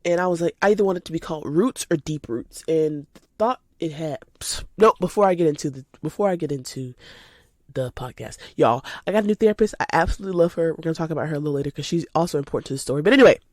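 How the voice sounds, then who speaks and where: An eighteen-year-old woman chats casually and spontaneously as a podcast host, animated and informal, slightly stuffy from a cold. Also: deep voice, fast talker, no room sound, studio quality. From United States, lives in Georgia. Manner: animated, informal, addresses listeners as y'all. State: recovering from a cold.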